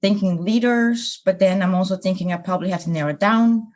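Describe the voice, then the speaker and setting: Measured middle-aged woman guest. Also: medium pitch, a little mellow. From Netherlands. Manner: measured, hesitant, with fillers. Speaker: coaching client, guest.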